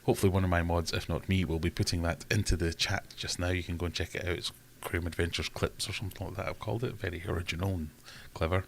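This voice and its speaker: Guy with a really low voice, rambling chatty stream host reading chat at his desk. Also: steady tone, quick pace, vocal fry, few pauses, a little hiss or hum. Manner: rambling, self-deprecating, chatty. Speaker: livestream host. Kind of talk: casual live chat reading comments. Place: home.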